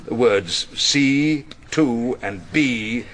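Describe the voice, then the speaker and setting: An actor playing a wily, irascible older English defence barrister in a radio drama, theatrical, probing and dryly ironic. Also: male voice, mid-range voice, extremely slow, fairly bright tone, slight background noise. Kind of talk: radio drama courtroom acting and narration.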